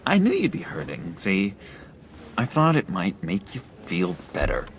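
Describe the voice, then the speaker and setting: An actor playing an eccentric, unsettling former asylum inmate in a video game, awkwardly eager, with halting, theatrical delivery that swings between giggly and brooding. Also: male voice, low voice, huge pitch swings, exaggerated voice.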